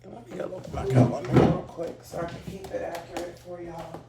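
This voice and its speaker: A hoarse woman giving a relaxed, chatty tarot reading to her viewers, with frequent ums. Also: lowish voice, fairly quick, reverberant, heavy background noise. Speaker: tarot reader.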